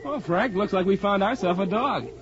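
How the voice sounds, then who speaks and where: A man acting a breezy, excitable young American college sidekick in a 1940s radio drama, boyish and casual. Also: sits high, moderate pace, close to an everyday voice. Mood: upbeat, helpful. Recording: muffled vintage 1940s radio broadcast.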